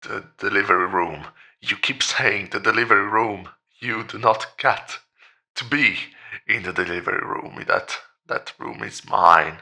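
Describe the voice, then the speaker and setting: An actor playing an anguished, grieving widower in denial in a horror audio drama, halting and repeating his words in protest. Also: on the low side, bright, forceful tone, heavily acted. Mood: anguished, defiant. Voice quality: tense, gravelly voice.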